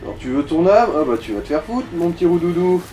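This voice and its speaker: Mellow, higher-voiced man guiding a gamer. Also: sing-song, fast talker, dull audio, faint music behind. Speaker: co-player giving directions. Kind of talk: casual let's play chat, guiding the player.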